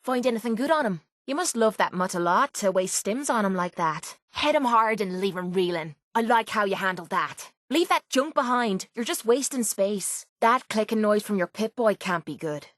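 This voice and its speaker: A woman's voice: medium pitch, animated, normal speed, few pauses, fairly bright tone, no room sound, studio quality, natural voice. A woman voicing a tough, sarcastic young Irish mercenary companion in a video game, blunt, cocky and slangy. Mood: sardonic, brisk.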